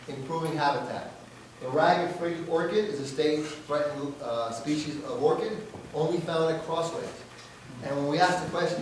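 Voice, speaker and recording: A hoarse man presenting yearly results at an annual meeting in an earnest, proud manner. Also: fairly high pitch, a little hiss or hum. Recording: very echoey hall, far from mic.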